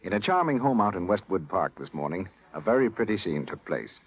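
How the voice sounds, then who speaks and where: An American man announcing on radio, reading scripted 1930s narration in a polished, measured way. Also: deep voice, sing-song, husky, no room sound, poor audio. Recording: 1930s radio broadcast with music.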